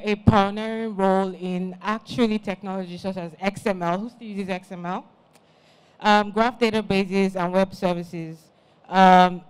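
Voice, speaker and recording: A woman's voice: mid-range voice, clean tone, rough recording. A woman emcee introducing the next conference speaker, a bit hesitant with fillers. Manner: hesitant, reads out bio.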